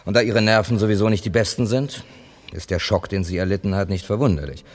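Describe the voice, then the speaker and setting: Radio crime play: male actor as composed writer-narrator, 36, low voice, measured and reassuring. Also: natural voice. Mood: sober reporting. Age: 30s. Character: composed, discreet male writer, thirty-six, narrator and confidant. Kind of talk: 1970s German radio crime drama acting.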